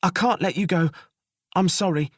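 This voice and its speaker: A man doing a frantic female jailer, high. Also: normal speed, breathy edge, dry recording, clean studio sound. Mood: urgent. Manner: pleading, panting, frantic. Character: panicked female jailer, desperate, weeping.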